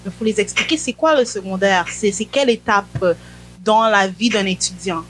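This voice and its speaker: A woman's voice: mid-range voice, normal speed, music in the background, low-quality recording. A woman hosting a morning faith talk show, inviting her guest and asking questions for viewers. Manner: warm, inviting, interrupts to clarify for viewers. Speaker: host.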